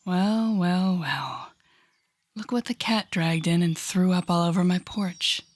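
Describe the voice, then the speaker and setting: Female villain played by an actress for audio roleplay: menacing telepath, breathy lowish voice, mocking, theatrical, taking deliberate pauses. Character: sardonic, menacing telepathic woman villain taunting a rookie hero. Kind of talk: audio roleplay acting addressed to the listener.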